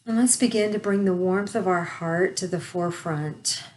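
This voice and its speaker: A woman leading a guided meditation, speaking softly and soothingly with long pauses. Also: fairly low pitch, rough recording.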